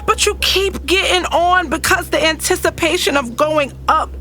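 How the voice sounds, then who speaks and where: A woman's voice: very high-pitched, moderate pace, sharp tone, faint music behind. A woman with an American accent playing an emotional, insistent young girlfriend in an audio drama. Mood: upset, insistent. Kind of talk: first-person dramatic narration and dialogue in audio drama.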